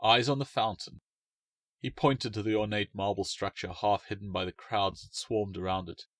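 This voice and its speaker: A man reading his own short story aloud in a measured, expressive way. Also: lowish voice, no room sound. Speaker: author and narrator. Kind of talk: storybook narration.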